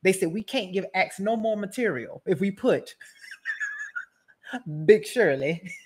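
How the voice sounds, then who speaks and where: A woman's voice: medium pitch, expressive, slow, darkish tone, slightly rough. Brash, mocking woman roasting casually. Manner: brash, mocking, rambling with repeated phrases. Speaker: host of an online political roast show. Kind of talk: casual, profane comedic roast commentary.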